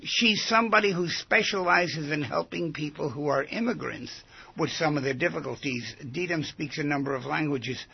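A man with a very husky, gravelly voice hosts a radio interview in a conversational way. He circles back and rephrases his questions as he probes. The audio is somewhat dull and lo-fi. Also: a little high, moderate pace. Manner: probing, repeats and rephrases questions. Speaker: radio show host. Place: radio studio.

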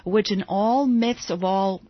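A woman from Greece with a breathy voice, recorded close with no room sound. She tells anecdotes as a studio guest, pausing and restarting often. The audio sounds dull and lo-fi. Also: medium pitch, dry recording. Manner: storytelling, hesitant with fillers and restarts. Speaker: guest author and therapist. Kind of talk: radio interview. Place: radio studio.

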